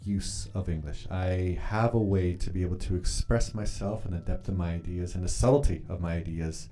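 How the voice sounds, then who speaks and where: A man's voice: low voice, normal speed, mellow, soft background music. A man whose first language is Polish, chatting casually on a live stream while journaling, rambling and swearing freely as he reads comments. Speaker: livestreamer reading chat.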